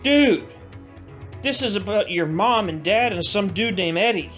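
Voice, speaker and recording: Man playing an excitable, high-pitched young male cadet. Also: measured pace, faint music behind. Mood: intrigued. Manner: slangy, animated. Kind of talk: audio drama acting.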